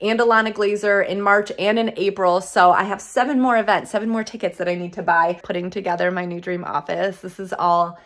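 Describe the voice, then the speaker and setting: A woman's voice: medium pitch, steady tone, brisk, few pauses. A woman in her thirties chatting upbeat and gushing as she shows things around her home for a vlog. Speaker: lifestyle vlogger. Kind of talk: casual sponsored vlog chat. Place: home, dining table then rooms. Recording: echoey room at home.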